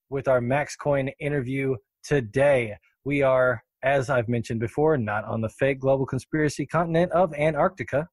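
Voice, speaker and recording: A man's voice: on the high side, dry recording. A man hosting a podcast in an upbeat, chatty, jokey, unscripted way. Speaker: podcast host.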